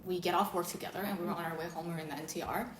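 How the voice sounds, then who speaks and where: A woman with a deep voice, chatting casually and telling a story with lots of fillers as a co-host. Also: steady tone, racing, roomy sound, slight background noise. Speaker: co-host of a beauty channel talk. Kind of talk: casual chat.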